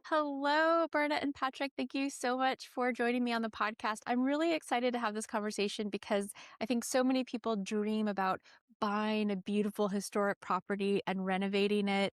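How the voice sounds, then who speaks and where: A woman's voice: on the high side, steady tone, fairly quick, smooth, no room sound, clean studio sound. A woman hosting a podcast, introducing topics and putting questions to guests in conversational English. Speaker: podcast host and interviewer. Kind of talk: friendly podcast interview.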